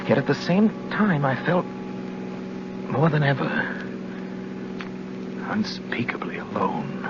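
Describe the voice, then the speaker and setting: Haunted motorist in vintage radio drama. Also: male voice, medium pitch. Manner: halting, anxious, stammering under strain. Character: haunted, frightened lone male motorist telling his tale. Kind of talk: 1940s radio drama acting, first-person narration. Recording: dull 1940s radio recording, loud music.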